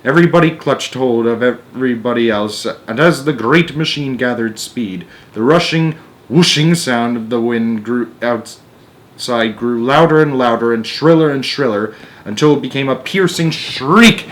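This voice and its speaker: Expressive man reading a storybook aloud. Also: medium pitch, moderate pace. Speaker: story narrator and channel host. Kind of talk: storybook read-aloud with casual asides.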